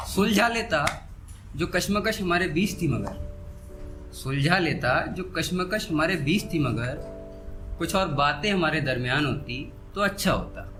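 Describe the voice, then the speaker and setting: A man with a slightly high voice recites poetry as a stage performer. He repeats his couplets and sets them apart with deliberate pauses. Instrumental music plays clearly behind him. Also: lively intonation. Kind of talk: live Hindi-Urdu poetry recital with spoken asides. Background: soft instrumental background music.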